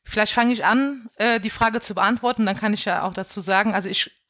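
A woman in her thirties from Germany, talking in a thoughtful, steady way with some fillers. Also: mid-range voice, fairly quick. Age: 30s. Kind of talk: casual talk on a video call.

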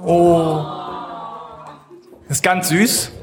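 A man's voice: a little high, flat delivery, background noise. A man hosting a casual interview segment in front of a live audience, joking and polling the crowd as he reads out his prompts. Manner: playful, quick, polls the audience. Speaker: host.

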